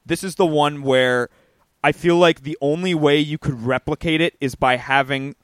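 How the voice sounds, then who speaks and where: A man's voice: a little high, normal speed, clear, resonant tone, dry recording. A man co-hosting a movie podcast who talks with emphasis and repeats his points. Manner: emphatic, repeats himself for effect. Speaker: podcast co-host. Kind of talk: casual movie review chat.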